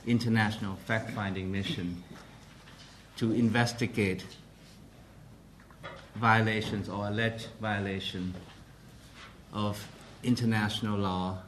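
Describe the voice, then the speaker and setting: Man, low voice, formal press briefing, halting delivery with fillers; slight room noise. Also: fairly even intonation, fairly bright tone. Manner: hesitant, formal, many pauses and restarts. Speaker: council president briefing the press.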